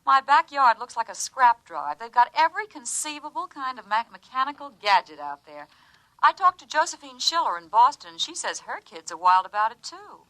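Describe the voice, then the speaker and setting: A woman's voice: on the high side, sing-song, a little fast, fairly bright tone, no echo, rough recording. An actress playing a chatty, exasperated sister and mother in a 1950s radio drama.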